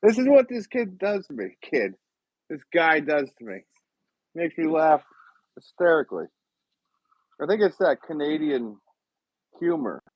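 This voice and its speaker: A man from Connecticut chats slowly on a live podcast, his pitch swinging widely as he rambles and laughs. The sound is dry and slightly dull, like a lo-fi mic. He fills gaps with ums and uhs. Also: big pitch swings, no echo. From Connecticut, USA. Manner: rambling, laughs often, many fillers. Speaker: podcast host. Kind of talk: casual live-stream chat.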